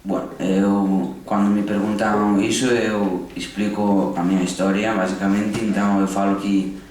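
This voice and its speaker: A teenage boy speaking on a panel, explaining himself slowly and plainly. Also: on the low side, little pitch movement, a little mellow, slight background noise. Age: teens. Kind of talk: casual panel talk. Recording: echoey hall, mic at a distance.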